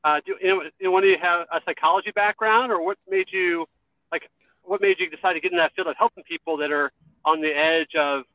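A man's voice: high voice, steady tone, brisk, no room sound, phone-quality sound. A man hosting a radio interview show, friendly and self-deprecating with his guests. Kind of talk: interview host.

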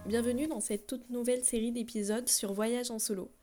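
A woman's voice: fairly high pitch, steady tone, fairly quick, over loud music. A young woman from France hosting her own podcast, talking through her thoughts with many fillers and restarts. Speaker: podcast host. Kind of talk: solo podcast talk.

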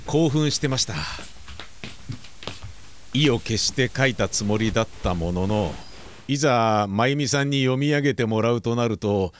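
A man's voice: mid-range voice, normal speed, slight background noise, lightly acted. An actor playing a polite, apologetic middle-aged father in an audio drama, speaking candidly and a little hesitantly. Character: apologetic, candid, protective father of a grown daughter. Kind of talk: Japanese audio drama acting.

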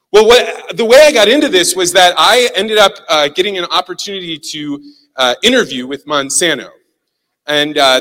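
A man from Missouri with a Midwestern American accent, giving a guest talk to a live audience and telling stories in an animated, self-deprecating way. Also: a little high. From St. Louis, Missouri. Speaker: guest speaker, communication consultant. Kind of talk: storytelling keynote talk. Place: large hall with a live audience. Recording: long hall reverb.

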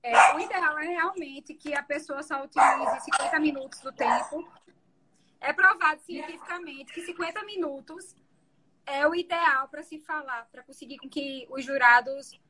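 A woman defense lawyer with a soft voice, explaining her courtroom methods in an interview. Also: high voice, normal speed, poor audio.

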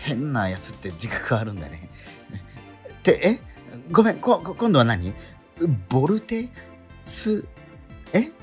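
A man's voice: mid-range voice, very animated, measured pace, long pauses, faint music behind. A man playing a bemused, good-natured transfer-student schoolboy, reacting like a puzzled straight man in a radio drama. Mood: flustered.

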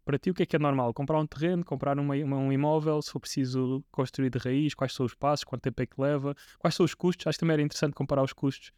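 A man's voice: medium pitch, steady tone, rapid-fire, few pauses, darkish tone, no room sound, studio quality. A man in his twenties with a European Portuguese accent, hosting a podcast interview in a casual, hesitant way with frequent restarts. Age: 20s. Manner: hesitant, many restarts and fillers. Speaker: podcast host.

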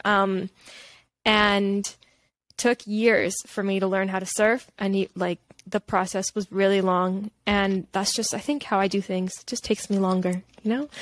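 A woman's voice: mid-range voice, no echo. A woman from Canada, talking candidly and reflectively in a casual interview, rambling with lots of filler "like". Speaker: podcast guest, holistic nutritionist.

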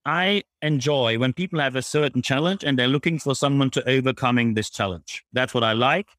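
A man's voice: mid-range voice, a little fast, fairly bright tone, no room sound. Blunt German-accented man, close dry sound. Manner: blunt, emphatic. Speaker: guest, public speaker on leadership. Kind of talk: interview answers, explanatory.

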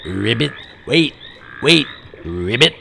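A man voicing a male frog in a well who croaks "ribbit" between his phrases, in a storybook reading. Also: mid-range voice, sing-song, takes it slowly, bright, forceful tone, slight background noise, exaggerated voice. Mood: urgent.

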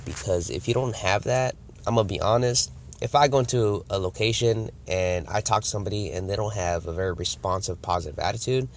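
Male sales coach, low voice, a bit congested, chatty and conversational. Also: a little hiss or hum. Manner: conversational, acts out customer and salesperson lines. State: congested from a cold. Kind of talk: casual instructional talk to camera.

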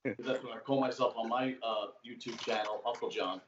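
A man's voice: medium pitch, steady tone, moderate pace. A man with a thick old-school Brooklyn accent talks casually as a podcast guest. He tells stories at length and swears freely. The sound is roomy and dull, with a faint hiss behind him. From Brooklyn, New York. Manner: talkative storyteller, swearing freely. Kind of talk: casual chat. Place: home room, remote call. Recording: echoey room, dull lo-fi sound.

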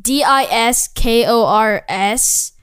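A woman's voice: on the high side, slow pace, fairly bright tone, clear, resonant tone. A young woman co-hosting a podcast, talking casually and hesitantly, with many "like" and "um" fillers. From United States. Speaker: podcast co-host. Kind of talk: casual chat among friends.

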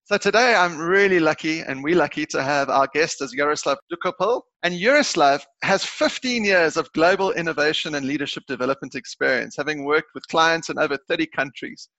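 Bright-toned podcast host. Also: male voice, fairly high pitch, a little fast, few pauses, dry recording, highs cut off. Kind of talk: scripted guest introduction and interview questions.

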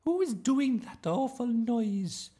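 A performer voicing a flustered, gentle woman schoolteacher in a storybook, hesitant and fussy. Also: mid-range voice, expressive, unhurried, slightly rough, pitched above the performer's own voice. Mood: fussing, directing.

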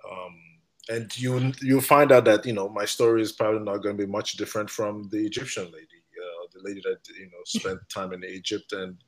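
A man's voice: lowish voice, fairly even intonation, a little fast, low-quality recording. A man in his thirties from Nigeria, chatting as a podcast guest, laughing often and filling gaps with "uh". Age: 30s. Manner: laughs often, many fillers. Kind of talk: casual interview chat.

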